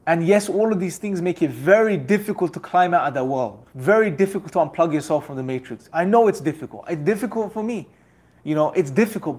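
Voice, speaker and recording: A man's voice: high voice, a little mellow, breathy edge. A young man giving an earnest, exhorting religious talk that leans on rhetorical repetition. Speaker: religious speaker.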